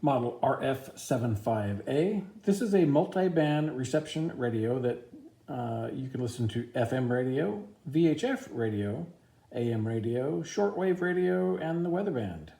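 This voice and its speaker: A man's voice: mid-range voice, lively intonation, unhurried, vocal fry, mellow. A man giving a casual, informal product review, explaining features plainly step by step.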